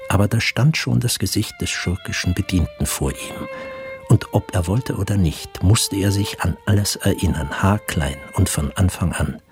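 Very deep-voiced male literary narrator. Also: huge pitch swings, normal speed. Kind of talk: scripted literary narration for radio drama. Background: music.

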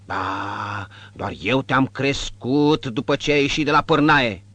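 A man voicing a coarse, sharp-tongued older woman who keeps a tavern, speaking in a raised, brutal voice. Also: mid-range voice, no room sound, muffled recording, pitched above the performer's own voice, lightly acted. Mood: fawning. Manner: brutal, snappish, then sugary flattering. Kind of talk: audiobook character voice.

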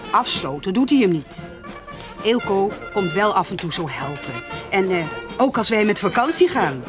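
A woman's voice: medium pitch, big pitch swings, moderate pace, close to an everyday voice. A woman playing a weary farmer's wife in a radio play, hesitant, voice moves up and down, party music behind her. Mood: earnest, resigned. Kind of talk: naturalistic radio drama acting.

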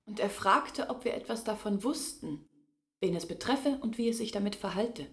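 A woman playing an embittered young villager who confides her family's disgrace in an earnest, measured way in a radio drama. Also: medium pitch, breathy edge, no echo, studio quality. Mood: reflective, recounting. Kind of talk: intimate literary radio drama storytelling.

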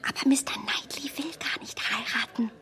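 A woman's voice: a little high, steady tone, moderate pace, in a whisper, natural voice. A woman playing a headstrong young heroine. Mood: indignant. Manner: emphatic, hushed, indignant. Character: young heroine, strong-willed and self-assured. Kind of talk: radio play acting.

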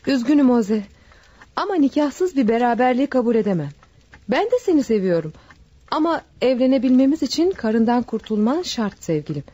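A woman's voice: fairly high pitch, a little fast, slightly rough, no room sound. An actress in a radio drama playing a young woman who coaxes her lover and stays firm. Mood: coaxing. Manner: calm, coaxing and firm. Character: alluring young woman from a forest cabin. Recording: dull old radio recording with music.

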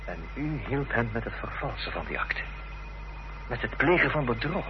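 An actor playing a polite, persistent investigator in a Dutch radio play, with formal, probing questions. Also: male voice, mid-range voice, animated, moderate pace, natural voice. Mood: accusing. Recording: dull old radio recording with hiss and hum.

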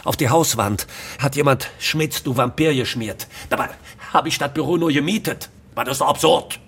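An actor playing an aggrieved, self-pitying company boss giving an interview in a radio drama, with a husky voice. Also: male voice, medium pitch, normal speed, fairly bright tone.

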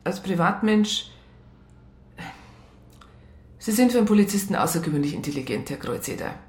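An actress playing a strict police psychologist who narrates a radio crime drama, matter-of-fact. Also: female voice, fairly low pitch, animated, normal speed, a little mellow, lightly acted. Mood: stern, formal. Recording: roomy radio play studio sound.

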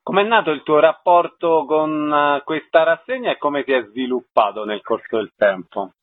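Higher-voiced radio interviewer, husky man. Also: normal speed, dry recording. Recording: slightly muffled, dry lo-fi radio audio.

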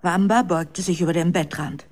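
A woman narrating a children's story in a dramatic, expressive scripted style. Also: fairly low pitch, normal speed.

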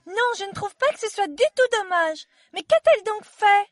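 A woman's voice: very high voice, lively intonation, normal speed, dry recording, studio quality. A woman voicing a bold, polite, inquisitive young storybook girl with a forthright manner. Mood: curious.

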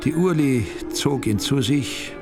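An actor as a wry, ironic storyteller narrating a radio drama. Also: male voice, medium pitch, animated, slow, gravelly. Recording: loud music behind.

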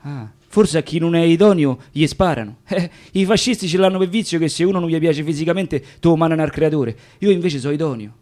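A man plays a naive electrician of thirty taken prisoner in a radio drama. He speaks plainly in a lightly high voice with a Roman accent, as if confiding in the listener. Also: fairly quick, few pauses, darkish tone, natural voice. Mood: puzzled, naive. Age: 30s. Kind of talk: radio theatre monologue.

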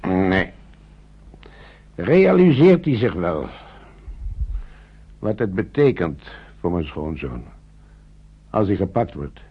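An actor playing a worried, plain-spoken older man in a radio drama, gravelly and husky, with a blunt colloquial way of talking. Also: sits low, very animated, slow pace, pauses often, full character voice. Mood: worried, wary. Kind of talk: naturalistic radio play acting. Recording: muffled old radio play recording.

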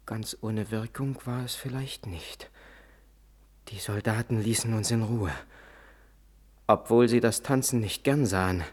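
An actor playing a proud, solemn Lakota warrior father who recounts the past in a German radio play, in measured storytelling. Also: fairly low pitch. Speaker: narrator and lead character.

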